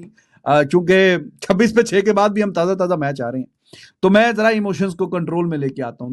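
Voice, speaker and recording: High-pitched Pakistani man, casual cricket talk. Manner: conversational, colloquial, switches into English phrases. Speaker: cricket commentator. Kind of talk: casual cricket commentary to camera. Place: small indoor home studio. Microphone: desk microphone close in front.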